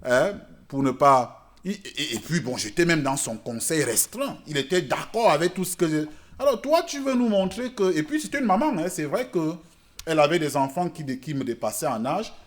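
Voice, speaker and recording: A man preaching a church sermon in French, which is not his native language, swinging between loud emphatic peaks and quiet asides. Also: on the high side, big pitch swings, moderate pace. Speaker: pastor. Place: church service.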